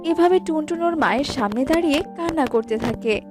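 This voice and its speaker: A woman's voice: high-pitched, expressive, a little fast, loud music behind the voice. A woman narrating a children's cartoon story in a scripted storytelling style.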